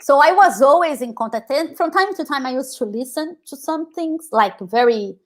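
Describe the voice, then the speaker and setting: A woman's voice: high-pitched, animated, normal speed. A woman in her thirties from Brazil with a Brazilian Portuguese accent, telling her personal story as an interview guest, earnest and reflective, with frequent "like"s. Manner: earnest, reflective, many filler "like"s. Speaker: guest, activist and scholar.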